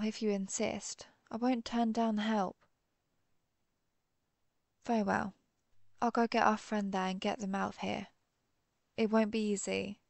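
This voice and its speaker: A woman playing an earnest, conflicted vampire hunter who is herself a vampire, speaking formally with hesitant pauses in a dramatic audio roleplay. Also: medium pitch, vocal fry, no echo. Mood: concerned, sincere. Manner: formal, old-fashioned wording, hesitant pauses. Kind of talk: dramatic audio roleplay, one-sided dialogue.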